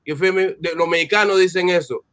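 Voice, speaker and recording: A man's voice: high voice, fast talker, a bit bright. Laid-back man dropping slang. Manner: laid-back slang, "you know what I mean". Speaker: guest, musician. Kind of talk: casual roundtable chat.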